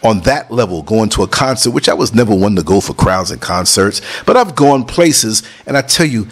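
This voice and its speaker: A man's voice: expressive, normal speed, slightly rough. A middle-aged man from New City, talking casually over concert footage in an emphatic, preachy way, swinging his voice up and down. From New York City. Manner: emphatic, preachy, jumps between high and low tones. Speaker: vlogger and podcast host.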